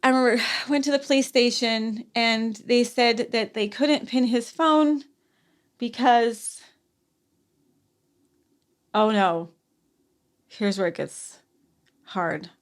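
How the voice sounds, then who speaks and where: A woman in her forties, a podcast guest telling a personal story in conversation. She speaks softly and haltingly, with frequent sighs and restarts. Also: on the high side.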